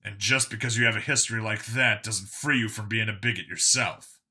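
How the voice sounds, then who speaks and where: A man's voice: lowish voice, steady tone, a little fast, pushed and bright, breathy edge, full character voice. A man voicing a tough human enforcer in an audiobook story, explaining himself in an earnest, blunt way. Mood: lecturing.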